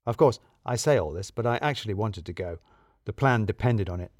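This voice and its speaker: A man's voice: lowish voice, lively intonation, brisk, a little mellow, no room sound, studio quality. A man narrating a scripted first-person comic story in a wry, self-deprecating manner.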